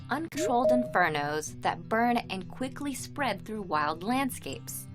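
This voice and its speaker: A woman reads scripted explainer narration in a measured, clearly enunciated way. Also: mid-range voice. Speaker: video narrator. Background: music.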